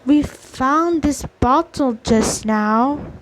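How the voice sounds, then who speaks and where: A woman gameplay streamer reading game dialogue aloud in a halting, stumbling way. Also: high voice, a little hiss or hum, rough recording.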